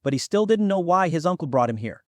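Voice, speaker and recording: A man's voice: fairly high pitch, expressive, quick pace, no echo, clean studio sound. A man reading scripted fantasy fiction as an audiobook narrator.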